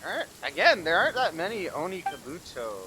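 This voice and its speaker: A man's voice: a little high, sing-song, quick pace, slight background noise, low-quality recording. A man streaming a video game, reacting in casual, off-the-cuff commentary. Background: loud game music.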